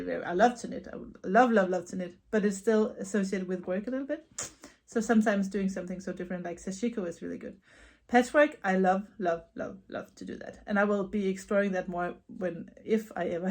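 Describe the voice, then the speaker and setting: Chatty Danish woman with lots of ums. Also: mid-range voice. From Denmark. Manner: chatty, repeats words, frequent soft laughs and ums. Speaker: knitting vlogger and yarn dyer. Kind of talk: casual Q&A vlog chat. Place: her home.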